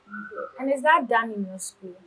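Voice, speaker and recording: A woman's voice: on the high side, expressive, moderate pace, fairly bright tone, breathy edge, low-quality recording. A woman hosting a TV show, questioning students and pressing them with follow-up questions. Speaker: host.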